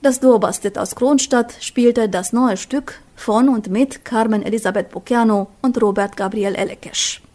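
A woman narrating a scripted radio news report in an even, measured read. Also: on the high side.

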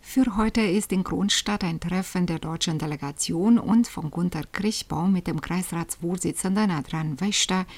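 A woman giving scripted news narration in a crisp, formal delivery. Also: lowish voice, a little mellow.

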